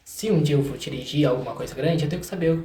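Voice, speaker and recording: A man's voice: fairly high pitch, quick pace, creaky. Young Brazilian man chatting in an echoey room. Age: young adult. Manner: animated storyteller, acts out quotes. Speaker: guest, singer and artist. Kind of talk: casual interview chat. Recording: echoey room with some background noise.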